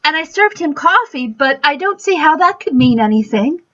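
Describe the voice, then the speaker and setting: A woman's voice: high-pitched, lively intonation, normal speed, sharp tone. A woman playing a flustered, genteel housewife hostess in a radio mystery, speaking hesitantly on a dull recording. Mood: shaken, hesitant. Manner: hesitant, fluttery.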